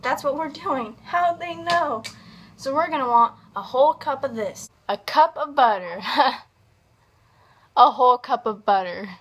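A young adult woman giving a casual, comedic cooking tutorial in a home kitchen, with playful asides and drawn-out words. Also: sits high, a bit bright. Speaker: host of a home cooking video. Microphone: camera on counter at about arm's length. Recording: background kitchen noise, clicks.

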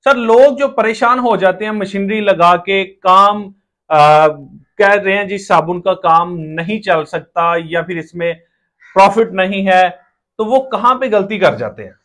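High-voiced Pakistani man interviewing. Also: measured pace, a bit bright. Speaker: interviewer, video host. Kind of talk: on-location interview. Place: small brick-walled workshop room indoors. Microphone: lapel.

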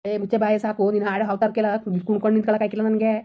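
A woman's voice: medium pitch, completely flat delivery, racing, dark tone, close to an everyday voice. A woman voicing a stern, angry husband who harshly scolds and threatens his wife. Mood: angry scolding.

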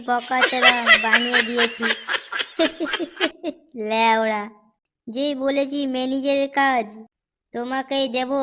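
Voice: high voice, bright, forceful tone, exaggerated voice. A performer voicing a sly, foul-mouthed drunken swindler in a comedy cartoon, crude and swaggering. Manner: crude, swaggering, full of swearing. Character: sly foul-mouthed drunkard conning neighbours for club money.

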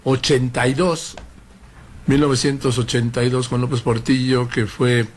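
A man hosts a radio conversation at a middle pitch. He speaks in a measured way and lists dates one after another. A faint hiss sits under his voice. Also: flat delivery. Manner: measured, recounts dates and events. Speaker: radio host.

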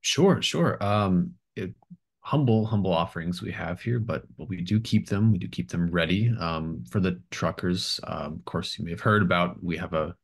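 Man playing a deep-voiced, flustered small-town mayor. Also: moderate pace, husky. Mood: apologetic, eager. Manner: hesitant, stammering, over-helpful. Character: eager, nervous small-town mayor, hospitable and rambling. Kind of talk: improvised tabletop roleplay.

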